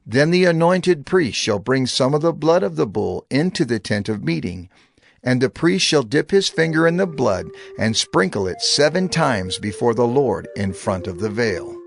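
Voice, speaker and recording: A man narrating scripture in a measured, reverent reading with expressive rise and fall. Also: medium pitch, vocal fry, no room sound. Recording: narration over background music.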